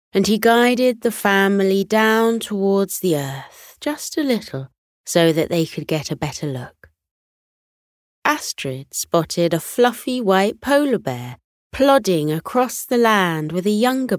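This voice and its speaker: A woman narrating a scripted bedtime story for children in a gentle, measured, soothing way. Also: fairly low pitch, dry recording, clean studio sound.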